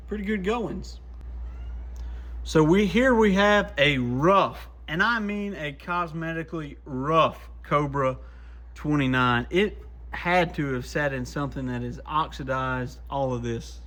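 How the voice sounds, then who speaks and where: A man's voice: fairly high pitch, a bit bright. A man giving casual, folksy hands-on commentary to himself as he works at a repair bench, easygoing in manner. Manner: folksy, easygoing, talks to himself while working. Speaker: radio repair shop vlogger. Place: small radio repair workshop, indoors.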